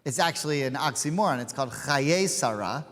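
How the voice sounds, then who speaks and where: A man's voice: medium pitch, lively intonation, a little fast, a bit bright. A man in his forties leading an informal study class, chatty and digressive, with plenty of asides and fillers. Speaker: rabbi teaching a class. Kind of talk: informal study-session teaching. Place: synagogue hall. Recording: hall with long reverb.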